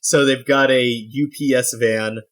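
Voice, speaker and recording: A man co-hosting a comedy podcast, talking in a hesitant, halting way. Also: medium pitch, steady tone, a bit bright. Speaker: podcast co-host. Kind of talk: improvised comedy chat.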